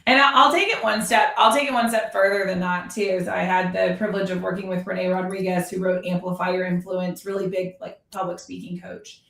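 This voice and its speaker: A woman's voice: on the low side, little pitch movement, fairly quick, vocal fry, few pauses, a bit bright, distant mic. A woman talking in a conversational podcast style as host and coach, explaining emphatically with examples and repeated phrases. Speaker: podcast host and real estate productivity coach. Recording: roomy echo, away from the mic.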